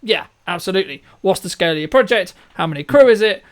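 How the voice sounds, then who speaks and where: High-pitched man, emphatic interviewer. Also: expressive, fast talker. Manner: emphatic, rhetorical, often checks with "right?". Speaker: interview host and filmmaker. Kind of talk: conversational business interview.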